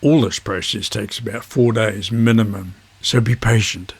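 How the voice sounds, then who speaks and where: A man with a husky voice, presenting an instructional vlog in measured phrases. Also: fairly low pitch, steady tone. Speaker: amateur radio video host.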